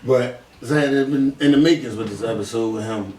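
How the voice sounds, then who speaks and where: A man's voice: mid-range voice, brisk, distant mic, crowd noise. A man hosting a podcast, talking casually in informal, slangy language. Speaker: podcast host. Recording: echoey room, off-mic.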